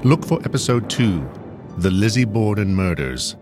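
A man giving a solemn, measured, dramatic reading as narrator of a dark stories podcast. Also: fairly low pitch, sing-song, faint music behind.

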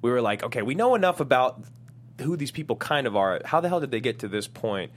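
A man's voice: medium pitch, sing-song, quick pace. A man chatting casually as a panelist, in an informal and appreciative manner. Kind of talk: casual chat.

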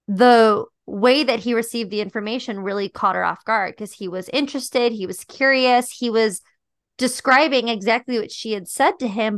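Podcast co-host, young woman, high-pitched voice, reflective casual delivery, dry close sound. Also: a bit bright, no room sound. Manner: thoughtful, reflective, many "like" and "um" fillers. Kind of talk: casual chat about a dating show.

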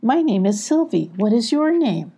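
Woman voicing a gentle, soft-edged female fox. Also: on the high side, big pitch swings, normal speed, breathy edge, pitched above the performer's own voice, full character voice. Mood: gentle, coaxing. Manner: gentle, coaxing. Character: kind, reassuring female fox, gentle sweet-talker.

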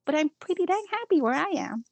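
A woman's voice: extremely high pitch, very animated, fast, vocal fry, no room sound. An older woman with a Filipino accent, chatting as a podcast guest, reflective and full of fillers and restarts. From Philippines. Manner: reflective, many fillers and restarts, laughs easily. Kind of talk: casual interview chat mixing English and Filipino.

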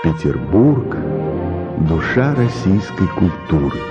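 A man's voice: deep voice, big pitch swings, slow pace, dull audio, loud music behind the voice. A man narrating a scripted radio documentary feature.

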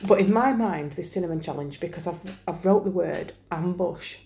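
A woman's voice: lowish voice, moderate pace, creaky, dark tone. A woman with a Northern English accent, bantering casually and playfully in colloquial speech as a podcast co-host.

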